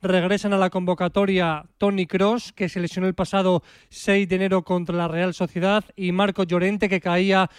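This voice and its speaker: Crisp high-voiced radio sports reporter. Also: male voice, little pitch movement, normal speed, no room sound, clean studio sound. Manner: crisp, fact-listing delivery.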